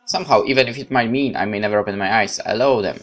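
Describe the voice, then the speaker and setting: A man's voice: fairly low pitch, rapid-fire. A man reading a horror visual novel aloud as a let's play host, dramatic narration with casual asides.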